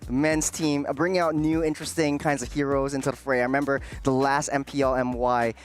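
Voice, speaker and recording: A man's voice: mid-range voice, little pitch movement, quick pace. A man from Singapore working as an esports analyst, giving unscripted panel analysis with frequent self-corrections.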